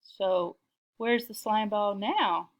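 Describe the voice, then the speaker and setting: A woman's voice: mid-range voice, slow pace. Woman voicing a plucky young girl mouse. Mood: wry.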